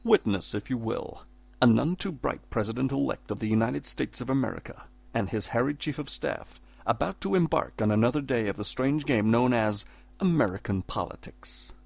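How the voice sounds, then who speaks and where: A man's voice: mid-range voice, animated, moderate pace, gravelly. A man playing a mock-grand announcer, reading a formal, tongue-in-cheek scripted introduction to an audio drama. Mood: tongue-in-cheek grandeur. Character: mock-grand male radio show announcer. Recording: loud music under the voice. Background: loud music.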